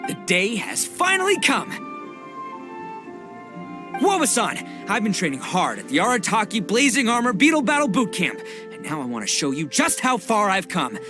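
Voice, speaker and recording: An actor playing a boisterous, cocky, hot-blooded young oni gang boss in an anime game, brash, slangy and theatrical. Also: male voice, high-pitched, huge pitch swings, moderate pace, music in the background. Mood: fired-up, boastful.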